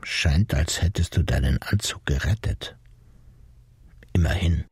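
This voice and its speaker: A man's voice: very deep voice, measured pace, a little mellow, slightly rough, no room sound. A man narrating literary prose in the second person, as in an audiobook.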